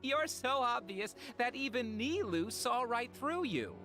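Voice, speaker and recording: A woman's voice: on the high side, lively intonation, a little slow. A woman voicing a playful, outgoing friend in a video game, with a teasing, breezy manner. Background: game music.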